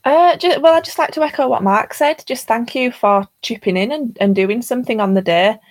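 A woman's voice: fairly high pitch, fairly quick. A woman co-hosting a livestream, chatting casually and explaining things in a conversational way. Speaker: livestream co-host. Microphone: livestream call microphone.

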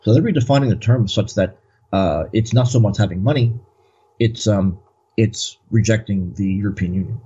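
A man's voice: lowish voice, moderate pace, warm tone, slightly rough, dull audio. A man as a guest commentator, talking in a rambling, hesitant way with many fillers. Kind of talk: casual political discussion.

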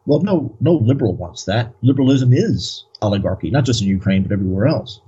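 A man's voice: mid-range voice, lively intonation, fairly quick, mellow, breathy edge, lo-fi sound. A man as a guest commentator, talking in a rambling, hesitant way with many fillers. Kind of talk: casual political discussion.

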